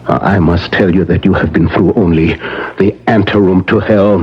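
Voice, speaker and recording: An actor playing a solemn, insistent professor who hunts vampires, speaking huskily with portentous pauses in 1970s radio drama style, on a muffled broadcast. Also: male voice, low voice, fairly even intonation, husky. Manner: deliberate, portentous, emphatic repetitions and dramatic pauses.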